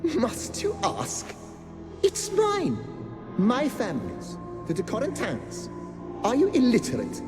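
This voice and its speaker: A man's voice: extremely high pitch, huge pitch swings, slow, music in the background, full character voice. An actor playing a bitter, sarcastic dead nobleman and gambler in a video game, exasperated and theatrical. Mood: exasperated, outraged. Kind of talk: video game dialogue acting. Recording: long hall reverb.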